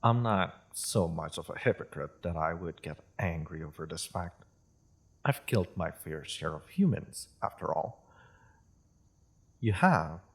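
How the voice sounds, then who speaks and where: A worldly, courteous half-dragon merchant acted by a man in a fantasy audio roleplay. The voice is deep and slightly husky, unhurried yet expressive in its rise and fall. The phrasing is formal and polite, with wry amusement. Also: full character voice. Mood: calm, probing. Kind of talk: scripted fantasy audio roleplay addressed to listener.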